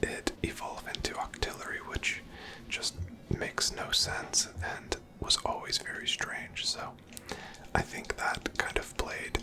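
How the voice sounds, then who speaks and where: An ASMR game streamer speaks entirely in a breathy whisper. The delivery is unhurried and reflective, as if thinking out loud while playing. No full voice comes through, only soft, airy speech. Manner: unhurried, musing aloud. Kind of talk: whispered ASMR gameplay commentary.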